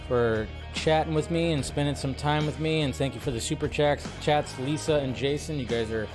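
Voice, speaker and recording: A man hosting a casual live stream from home, chatting loosely with viewers and reading their comments, with a bit of mild swearing. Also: fairly high pitch. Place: at home by a window. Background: faint intro music.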